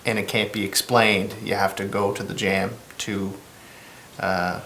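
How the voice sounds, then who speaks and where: Man with a bright, flat voice. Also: fairly low pitch, a little slow, a little hiss or hum. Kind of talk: interview testimonial.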